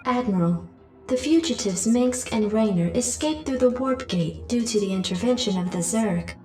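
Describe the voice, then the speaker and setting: An actress playing a cool military computer adjutant in a sci-fi video game, clipped, impersonal and formal. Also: female voice, on the high side, animated, normal speed, natural voice. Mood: formal reporting. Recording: echoey and distant, music behind.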